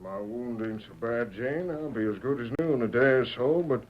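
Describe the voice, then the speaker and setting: A man's voice: mid-range voice, normal speed, slight background noise, lightly acted. A man playing a stubborn, hot-tempered, honest frontier father in an old radio western, with folksy rural speech. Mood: rueful. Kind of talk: 1940s radio western acting. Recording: muffled old radio recording.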